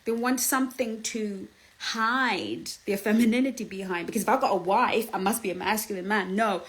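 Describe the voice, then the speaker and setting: A woman from Zimbabwe, Shona her first language, speaks with a Zimbabwean accent as a relationship coach. She talks in an emphatic, lively way, laughing often and asking "okay?" and "do you understand?". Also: medium pitch, moderate pace. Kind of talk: casual advice talk.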